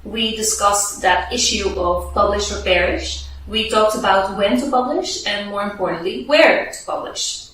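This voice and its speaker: A woman's voice: mid-range voice, a little slow, a bit bright, slight background noise. Lady explaining tips for researchers, super echoey room, mic kinda far off. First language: Dutch. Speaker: podcast host giving advice to researchers. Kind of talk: scripted advice video.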